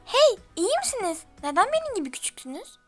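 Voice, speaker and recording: A man voicing a tiny, eager and helpful fairy girl in a cartoon tale, chirpy and squeaky. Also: big pitch swings, fast, sharp tone, no echo, faint music behind, heavily acted. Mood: curious.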